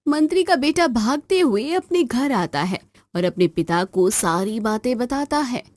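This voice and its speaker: A woman's voice: a little high, expressive, a little slow, a little mellow, no room sound. A woman narrating a scripted cartoon story.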